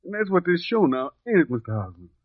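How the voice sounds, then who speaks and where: An actor playing a humble, grateful young country man, speaking haltingly and earnestly in an old radio drama. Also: high-pitched, sing-song, no echo. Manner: halting, earnest, folksy ungrammatical speech. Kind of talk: 1950s radio drama acting. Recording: tinny, phone-like old radio recording.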